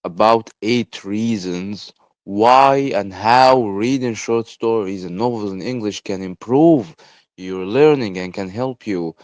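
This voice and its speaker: Young Egyptian man, Arabic native speaker, deep voice, slow hesitant podcast talk, dry close sound. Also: no echo. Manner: hesitant, many "uh" fillers. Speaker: podcast host. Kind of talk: casual solo podcast talk.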